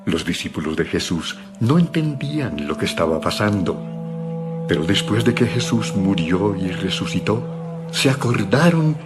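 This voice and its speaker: A man's voice: fairly low pitch, big pitch swings, normal speed, loud music behind the voice. A man narrating a dramatized audio Bible from a script.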